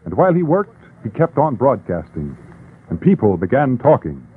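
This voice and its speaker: A man's voice: mid-range voice, huge pitch swings, moderate pace, husky. A man narrating in an emphatic, theatrical scripted 1940s radio style. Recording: tinny 1940s radio broadcast.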